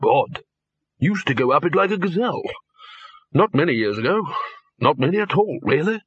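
A man voicing a brisk, practical wizard lecturer who sounds a little exasperated. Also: on the high side, expressive, a bit bright, husky, no echo, pitched above the performer's own voice, full character voice. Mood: wistful. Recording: dull lo-fi, dry.

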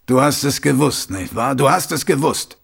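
A man voicing a blunt, gruff male commander of a city watch who speaks curtly and plainly. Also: mid-range voice, moderate pace, a bit bright, heavily acted. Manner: gruff and curt.

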